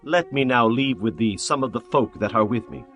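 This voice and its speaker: A man doing a bright brusque Esau. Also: medium pitch, lively intonation, fairly quick, faint music behind, natural voice. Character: gruff generous older brother Esau.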